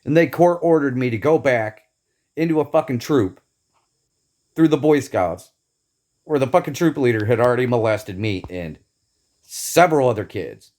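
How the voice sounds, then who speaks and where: A man's voice: mid-range voice, animated, moderate pace, long pauses, darkish tone. A man from Indiana delivering a bitter, profane, emphatic rant about his grievances. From Northeast Indiana, USA. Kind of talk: angry personal rant to camera.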